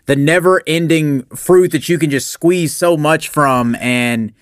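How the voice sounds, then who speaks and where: A man's voice: fairly high pitch, measured pace, clean tone, no room sound, clean studio sound. A man hosting a casual podcast interview, agreeable and often affirming his guest.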